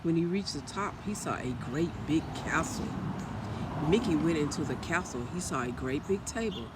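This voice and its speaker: A man reading a children's story aloud as storyteller, in an expressive manner. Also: fairly high pitch, moderate pace, heavy background noise. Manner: expressive, with acted character lines.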